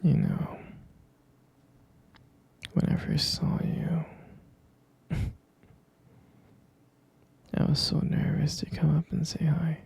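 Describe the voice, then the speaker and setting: A man playing a tender, devoted boyfriend in an intimate ASMR roleplay, whispering breathily with a husky, gravelly creak and gentle, hesitant phrasing. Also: a little high, flat delivery, exaggerated voice. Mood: tender, reminiscing. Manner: slow, gentle, hesitant, pausing and repeating. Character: tender, devoted boyfriend soothing his pregnant partner.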